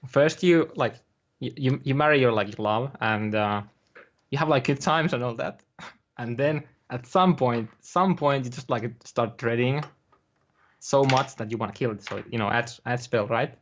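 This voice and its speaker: A young man chatting casually on a live stream, halting and rambling with many fillers and restarts. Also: medium pitch, a bit bright, dull audio. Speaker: guest streamer.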